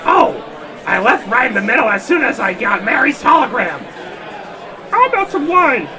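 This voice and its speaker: A man's voice: very high-pitched, very animated, normal speed, crowd noise. Man doing an eager puppet brother, far off. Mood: eager, celebratory. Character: eager, hearty puppet brother in a Christmas radio play. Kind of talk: comic live radio play acting. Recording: distant miking, noisy live hall with audience.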